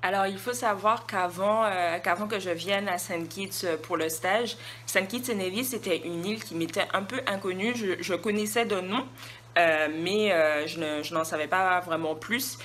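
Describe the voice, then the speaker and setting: Low-voiced young woman, noisy recording. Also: moderate pace, fairly bright tone. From Guadeloupe. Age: young adult. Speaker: student intern giving a testimonial. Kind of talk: informal video testimonial. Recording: noisy self-recorded video with background ambience.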